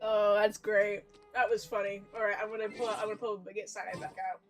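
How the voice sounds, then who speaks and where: A woman's voice: medium pitch, flat delivery, brisk, soft background music. A woman livestreamer giving casual, chatty game commentary, often repeating her phrases.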